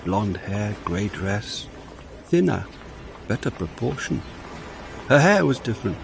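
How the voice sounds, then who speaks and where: A man's voice: fairly low pitch, sing-song, measured pace, creaky, noisy background, exaggerated voice. An actor playing a wry, world-weary police inspector who narrates his own story in a radio drama. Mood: reflective. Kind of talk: radio drama acting with first-person narration.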